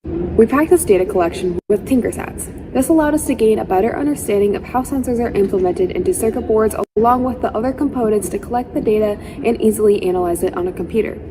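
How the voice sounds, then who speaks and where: A teenage girl student reading technical details of her project in a brisk way. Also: medium pitch, noisy background. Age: teens. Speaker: student presenter. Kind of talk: reading a scripted presentation.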